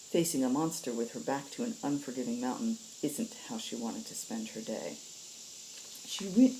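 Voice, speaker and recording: Very deep-voiced woman storyteller. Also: dark tone, a little hiss or hum, rough recording. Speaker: story reader and host. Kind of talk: audiobook-style narration.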